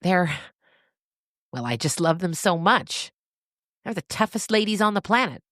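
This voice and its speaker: A woman's voice: on the low side, animated, unhurried, fairly bright tone, no room sound, studio quality, voice pushed up. Woman playing a frank, earnest woman farmer. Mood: fond. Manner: frank, heartfelt.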